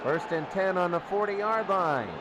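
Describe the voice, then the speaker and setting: A man doing excitable play-by-play American football commentary in short, clipped phrases. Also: high-pitched, a little slow, dull audio, very noisy. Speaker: play-by-play sports announcer. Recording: loud crowd and music behind. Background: crowd and music.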